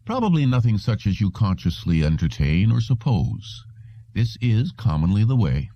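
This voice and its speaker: A man's voice: fairly low pitch, sing-song, no room sound. A man voicing a stern, formal, patient elderly psychiatrist in an audiobook. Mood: measured, grave. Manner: formal, wordy, deliberate. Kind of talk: audiobook character reading. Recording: dull, muffled lo-fi audio.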